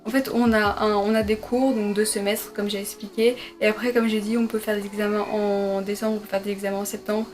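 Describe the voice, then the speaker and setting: A woman's voice: mid-range voice, little pitch movement, fairly quick, hardly any pauses, a little mellow, faint music behind. A young woman, a medical student, answering viewer questions in a casual sit-down vlog from her bedroom, hesitant with many fillers and self-corrections. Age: young adult. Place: her bedroom at her parents' home.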